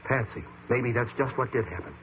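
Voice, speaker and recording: A man's voice: medium pitch, animated, brisk, fairly bright tone, natural voice. A man playing a plain-spoken, persistent detective's sidekick in an old-time radio mystery, casual and blunt. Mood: puzzled. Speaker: actor in a radio detective drama. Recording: muffled, noisy vintage radio recording.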